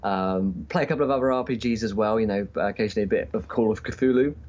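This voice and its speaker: A man chatting casually as a podcast guest and game streamer, with hesitant, halting phrasing, calling in remotely. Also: lowish voice, a little mellow. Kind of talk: casual interview chat. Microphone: remote call-in. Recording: dull, lo-fi call audio.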